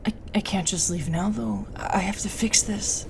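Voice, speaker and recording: A woman playing a distressed, apologetic but stubborn young woman in an intimate audio roleplay, stammering and halting. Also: mid-range voice, noisy background, natural voice. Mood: anxious, determined. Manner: halting, stammering, breathy asides to a partner.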